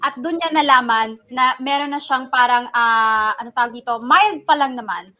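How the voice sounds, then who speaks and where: A Filipino woman co-hosting a casual advice talk, speaking in an expressive, conversational way and switching between two languages. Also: high voice, normal speed, faint music behind. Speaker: radio show co-host. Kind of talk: casual advice talk, giving commentary to listeners.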